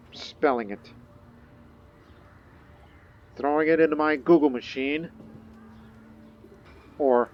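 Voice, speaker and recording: A man's voice: medium pitch, lo-fi sound, a little hiss or hum. A man thinking aloud and reading game text in casual, rambling gameplay commentary. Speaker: gaming video host.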